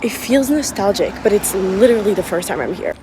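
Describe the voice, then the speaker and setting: A young woman born in Morocco whose first language is French, chatting excitedly through a travel vlog with lots of fillers. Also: mid-range voice, brisk, background noise. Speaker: travel vlogger. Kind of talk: casual vlog chat. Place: outdoors and indoors while traveling.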